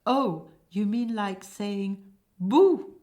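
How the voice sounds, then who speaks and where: A woman's voice: medium pitch, sing-song, a little slow, a little mellow, voice pushed up. A woman voicing a brash hired killer in a comic stage play, punching key words with a salesman's delivery. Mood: playful, emphatic.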